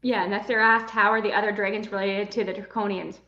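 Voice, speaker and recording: A woman's voice: level tone, fast talker, bright, forceful tone. A young woman co-hosting a live book chat, asking puzzled questions about the plot. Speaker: book club co-host. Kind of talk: casual live book discussion. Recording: roomy, dull low-fi sound.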